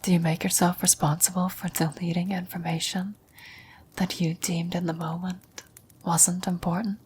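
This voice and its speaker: A woman playing a gentle, wise, dying old woman in a whispered, breathy, solemn ASMR roleplay. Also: lowish voice, flat delivery, a little hiss or hum, natural voice. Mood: grave, questioning. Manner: slow, solemn, measured delivery.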